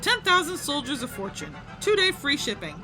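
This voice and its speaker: A woman's voice: sits high, sing-song, moderate pace, over music. A woman playing a rich, bored, sardonic fixer with a blasé, wry delivery in an audio drama. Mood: bored, smug.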